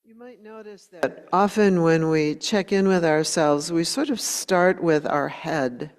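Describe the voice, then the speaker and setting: A woman meditation teacher leading a guided meditation in a gentle, unhurried way, leaving long pauses between short phrases. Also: fairly low pitch. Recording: long hall reverb.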